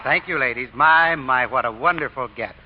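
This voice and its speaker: A man's voice: mid-range voice, brisk, background noise, close to an everyday voice. A man playing a sly, folksy fake deacon in 1940s radio acting, drawling homespun sayings. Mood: orating. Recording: dull lo-fi old radio broadcast.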